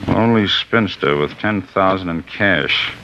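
An actor playing a brisk, commanding district attorney in a 1950s radio drama. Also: male voice, low voice, sharp tone, full character voice. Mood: firm. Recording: dull hissy 1950s radio recording.

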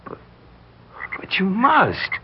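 An actor playing a polite, earnest, bewildered young man in a 1960s radio drama, husky, hesitant and respectful. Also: high-pitched, wildly swooping pitch, slow, heavily acted. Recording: muffled 1960s radio recording.

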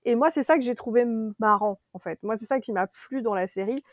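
A French woman co-hosting a casual podcast, chatty and animated, laughing often as she reviews a series. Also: on the high side, fairly quick. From France.

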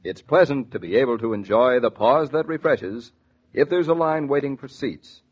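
A man's voice: medium pitch, normal speed, slightly rough. A man with an American accent doing scripted 1940s radio announcing. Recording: dull 1940s radio recording.

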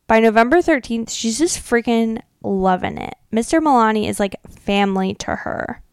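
A woman's voice: a little high, moderate pace, smooth, no room sound, studio quality. An American woman co-hosting a podcast, retelling things casually in informal, slangy speech peppered with "like". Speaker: podcast co-host. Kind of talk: casual chatty retelling and commentary.

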